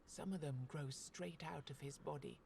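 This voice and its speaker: Casting: dark fantasy game narrator, higher-pitched with gravelly creak, scripted storytelling. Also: male voice, moderate pace, slight background noise. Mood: ominous.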